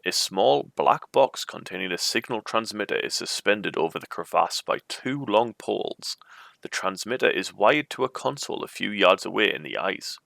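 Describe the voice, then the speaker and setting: A man's voice: lowish voice, lively intonation, moderate pace, vocal fry, fairly bright tone, dry recording, clean studio sound. A man reading an adventure storybook aloud as its narrator. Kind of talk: reading a choose-your-own-adventure book aloud.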